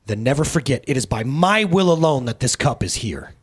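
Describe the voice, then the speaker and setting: A man voicing a solemn, defiant dying holy man who speaks in measured challenges and commands in a dramatic religious story. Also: medium pitch, sing-song, breathy edge.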